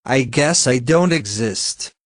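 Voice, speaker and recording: A man's voice: medium pitch, expressive, takes it slowly, dry recording, clean studio sound. A man, a native Finnish speaker, giving blunt, sarcastic reaction commentary on a video and swearing now and then.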